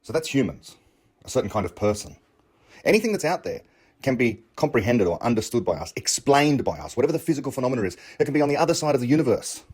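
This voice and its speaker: A man's voice: mid-range voice, big pitch swings, fast, a little mellow, husky. A man with an English accent, thinking aloud in a reflective, argumentative monologue and often asking rhetorical questions. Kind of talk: unscripted monologue on learning and understanding.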